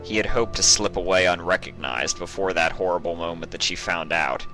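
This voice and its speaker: A man reading a story aloud as dramatic scripted narration, grave and measured, with music behind him. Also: deep voice, sharp tone. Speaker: audiobook narrator.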